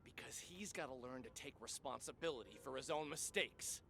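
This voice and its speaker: A man's voice: medium pitch, a little fast, gravelly, a little hiss or hum. A man playing a resentful, streetwise young suspect under police questioning in an audio drama. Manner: excitable, streetwise, aggrieved.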